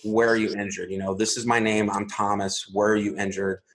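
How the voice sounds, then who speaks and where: Man, 40s, from Arizona, lowish voice; calm, methodical guest telling his story. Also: a little hiss or hum. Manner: measured, methodical, step-by-step telling. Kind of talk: recounting a personal story.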